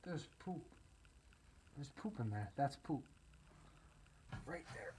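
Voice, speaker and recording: A man's voice: medium pitch, expressive, takes it slowly. Nervous young man on noisy handheld recording. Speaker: video host exploring abandoned houses. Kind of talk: casual handheld exploration commentary. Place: inside a cluttered abandoned house.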